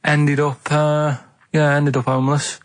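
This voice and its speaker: A man's voice: on the high side, monotone, no room sound. Rambling man telling a story on radio. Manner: rambling, vague, halting. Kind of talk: casual comic storytelling.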